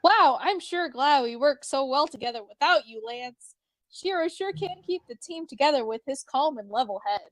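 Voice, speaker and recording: A woman plays an earnest alien man with a high voice and a dry, close sound. He rambles with awkward cheer and muddles his sayings, as in an amateur cartoon fan drama. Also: lively intonation, moderate pace, fairly bright tone, no echo, low-quality recording. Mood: awkwardly cheerful. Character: chatty, earnest alien man reporting awkwardly by video call. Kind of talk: fan fiction read as acted drama.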